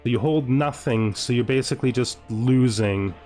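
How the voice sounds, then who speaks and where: A man's voice: medium pitch, normal speed, darkish tone, soft background music. Man thinking aloud over a strategy game. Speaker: gamer narrating his own playthrough. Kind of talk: casual let's-play commentary, thinking aloud.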